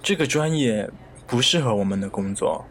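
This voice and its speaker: A man's voice: medium pitch, expressive, moderate pace, fairly bright tone, a little hiss or hum, lightly acted. A man playing a dismissive, politely curt job interviewer in a radio drama.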